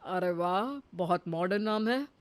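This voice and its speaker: A woman's voice: fairly low pitch, animated, measured pace. Woman voicing an exasperated, formal office boss. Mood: polite, puzzled. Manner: formal, increasingly flustered. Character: exasperated office boss trying to stay polite. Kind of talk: comic cartoon story acting.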